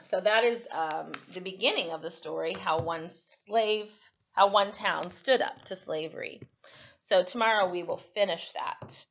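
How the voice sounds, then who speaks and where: A woman reading a history story aloud as a storyteller, in an expressive, teacherly way. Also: fairly low pitch, measured pace, highs cut off, a little hiss or hum.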